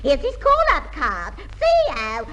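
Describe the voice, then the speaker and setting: Shrill, garrulous comic mother. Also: wildly swooping pitch, slow pace, pushed and bright, highs cut off, heavily acted. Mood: excited, fretting. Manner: chattering, tags sentences with repeats. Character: garrulous, excitable working-class mother visiting an army camp. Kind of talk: 1950s radio comedy acting. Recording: vintage radio recording with faint noise.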